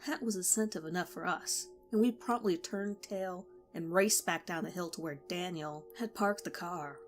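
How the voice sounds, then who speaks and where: A woman narrating a horror story in a measured, steady way. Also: lowish voice, soft background music.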